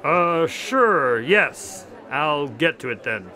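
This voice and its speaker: An actor playing a loyal, practical doctor sidekick in a radio drama, proper and mildly exasperated in manner. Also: male voice, on the high side, very animated, extremely slow, exaggerated voice. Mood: dutiful.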